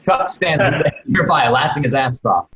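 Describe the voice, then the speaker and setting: A man's voice: medium pitch, expressive, unhurried, a bit bright. A man informally narrating stage directions for a script read on a video call. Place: indoor room, video call. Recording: echoey room on video call.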